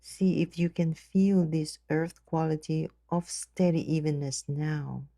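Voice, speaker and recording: A woman narrating a guided meditation in a gentle, measured, unhurried manner. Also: sits low, fairly even intonation, no room sound, studio quality.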